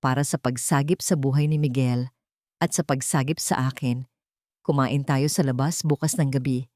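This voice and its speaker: A woman's voice: a bass voice, steady tone, moderate pace, darkish tone, no echo, studio quality, pitched below the performer's own voice. A woman voicing a reserved young male doctor in an audio drama, hesitant, earnest and shyly tender.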